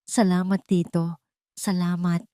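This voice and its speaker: A woman's voice: fairly low pitch, little pitch movement, a little slow, dark tone, clean tone, dry recording, studio quality, natural voice. Woman voicing an intense, anguished proud man. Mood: shaky relief. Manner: intense, emphatic. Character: anguished, proud younger man, husband and father.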